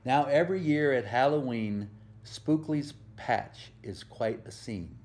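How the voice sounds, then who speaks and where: Slow, mellow man reading to small children. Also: mid-range voice. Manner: gentle, simple explaining, questions to the listener. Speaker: volunteer storyteller. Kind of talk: children's picture book read-aloud with asides to young listeners.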